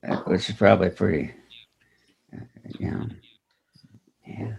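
A man co-hosting a casual group chat, speaking in a halting, hesitant way. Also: low-pitched, gravelly, a little mellow, slightly rough, low-quality recording.

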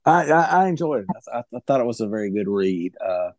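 A man's voice: on the low side, lively intonation, quick pace, darkish tone, no room sound, studio quality. A man giving his view in a casual book discussion, often stammering and repeating words. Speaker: book group member.

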